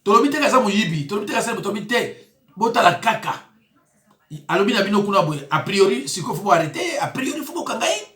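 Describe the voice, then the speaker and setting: A man's voice: sits high, sing-song, fast, a bit bright, roomy sound. A man, a pastor giving emphatic, indignant commentary on news clips. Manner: emphatic, indignant, with rising exclamations.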